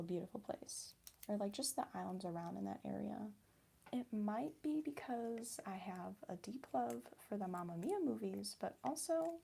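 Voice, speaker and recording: Young Midwestern woman, ASMR host: soft whisper-to-murmur delivery, gravelly fry, chatty and giggly, mid-range pitch. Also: slight background noise. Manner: soft-spoken, drifting between whisper and quiet voice; chatty and giggly, answering viewers. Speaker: ASMR creator. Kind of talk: ASMR chat while crafting.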